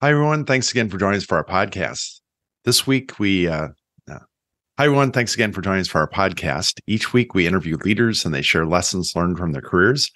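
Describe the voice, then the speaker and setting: A man with a deep voice hosts an interview podcast. His delivery is conversational and a bit hesitant in its phrasing. The sound is dry, with hardly any room to it. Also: animated, fairly quick, a bit bright, dry recording.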